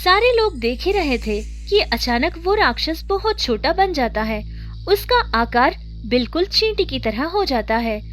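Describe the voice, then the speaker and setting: A woman's voice: high-pitched, sing-song, measured pace, fairly bright tone. A woman narrator reading a scripted children's story. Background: music.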